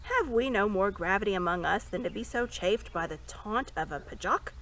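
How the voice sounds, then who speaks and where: A woman's voice: medium pitch, animated, fairly bright tone. A woman voicing a dignified, hearty king in a storybook, speaking in a formal, courteous and firm way. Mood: stern. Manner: stately, formal, measured proclamation.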